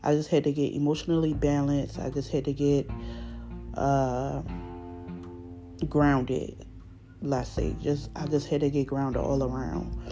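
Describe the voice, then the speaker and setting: A woman with a Baltimore accent hosting her podcast, talking freely with a raspy voice from a recent illness, rambling with fillers and restarts. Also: extremely low pitch, flat delivery, measured pace, long pauses, music in the background. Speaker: podcast host. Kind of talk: unscripted casual monologue.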